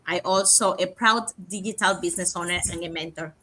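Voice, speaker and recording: A woman from Cuba, a nurse, introducing herself in a friendly, brisk way on an online panel. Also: fairly low pitch, pushed and bright, low-quality recording.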